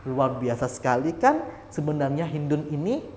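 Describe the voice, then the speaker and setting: A man's voice: fairly high pitch, a little hiss or hum. A man telling an Islamic story to children, often asking them questions. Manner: slow, lively, quizzing the children. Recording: echoey hall with faint ambience.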